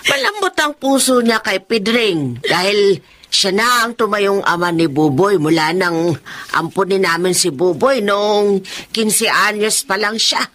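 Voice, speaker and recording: A woman's voice: mid-range voice, measured pace. An actress playing a caring, emotional older mother in a radio drama, given to exclamations. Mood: pleading. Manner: expressive, exclamatory.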